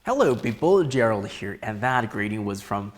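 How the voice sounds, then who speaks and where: A man hosting a news feature, giving a clear, scripted narration. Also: on the low side, big pitch swings, moderate pace. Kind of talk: presenter narration.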